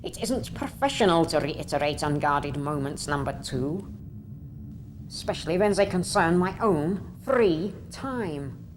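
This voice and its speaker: An actress playing a cool, assured female supervisor who speaks in a crisp, professional way. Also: low voice, lively intonation, measured pace, background noise. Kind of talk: fan audio drama acting.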